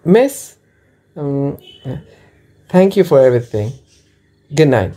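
A poor wandering peddler in a radio play, acted by a man. His voice is soft-edged and mellow, at a middling pitch. He speaks with humble, earnest politeness. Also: sing-song, slow. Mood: grateful. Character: poor wandering rat trap seller, humble and grateful. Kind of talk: amateur student radio drama acting.

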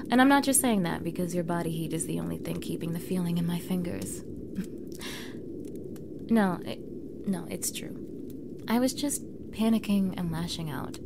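A young woman playing a defensive mean girl with a vulnerable side in an intimate ASMR roleplay, halting and often dropping to a breathy near-whisper. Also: lowish voice. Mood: sheepish, softening. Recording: clearly audible background noise bed.

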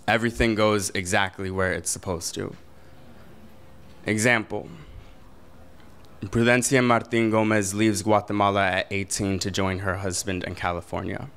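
A young man performing spoken word poetry at a slam, slow and deliberate, building to intense declamation, in a small brick-walled venue. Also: on the low side, level tone, fairly bright tone, smooth. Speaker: slam poet.

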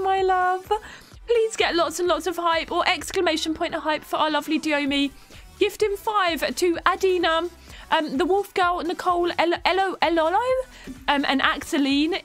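A young woman streaming a life-sim game from home, chatting warmly with her viewers while stuffed up with a cold. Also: extremely high pitch. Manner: chatty, affectionate toward chat, giggly. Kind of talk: live game stream chat.

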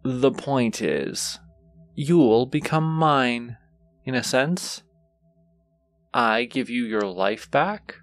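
A man's voice: medium pitch, lively intonation, very slow, pauses often. A man playing a teasing, flirtatious, gleefully wicked demon suitor in an intimate audio roleplay, drawling seductively to the listener. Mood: mock solemn, teasing. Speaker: voice actor.

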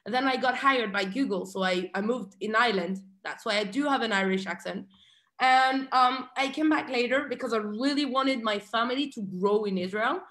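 Woman, thirties, high voice, chatty and animated, video call from home. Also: moderate pace, roomy sound. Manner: chatty and animated, laughs often, gestures with hands. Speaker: guest. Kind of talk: casual video call interview. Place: bright room at home. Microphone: video call webcam.

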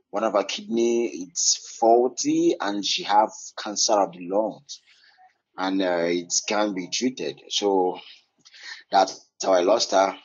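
A man with a foreign accent talks haltingly, filling gaps with ums and uhs. His voice is low and husky, heard over a muffled, lo-fi online call. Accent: foreign English. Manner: hesitant, many fillers, halting. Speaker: caller.

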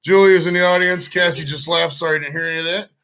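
A man's voice: a little high, fairly even intonation, fast talker, bright, forceful tone. A man hosting a casual live podcast from a cluttered basement room into a desk microphone, rambling and swearing offhandedly. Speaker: podcast host.

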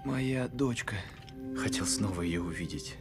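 An actor dubbing a scruffy, warm-hearted skeleton musician and father, with an emotional, expressive delivery. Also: lowish voice, a little slow, loud music behind the voice. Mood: grieving. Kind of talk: dubbed animated film acting. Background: film score.

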